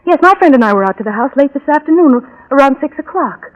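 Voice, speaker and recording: A woman's voice: sits high, lively intonation, quick pace. An actress playing an obsessive, bitter, lovelorn woman in a 1940s radio drama, speaking with fervent, brooding intensity. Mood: anxious, polite. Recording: dull vintage 1940s radio recording.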